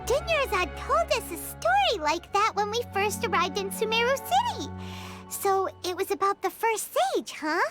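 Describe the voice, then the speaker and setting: A woman voicing a tiny, chirpy, childlike female fairy companion in a video game, with a squeaky voice and exclamatory manner. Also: big pitch swings, normal speed. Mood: curious, chirpy. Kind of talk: video game character dialogue. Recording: music behind the voice.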